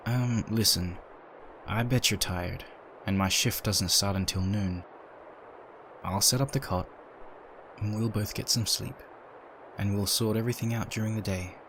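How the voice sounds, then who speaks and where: A man's voice: on the low side, unhurried, pauses often, low-quality recording. A man reading a scripted story as narrator, in the manner of a dramatic audiobook. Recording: steady ambient noise behind.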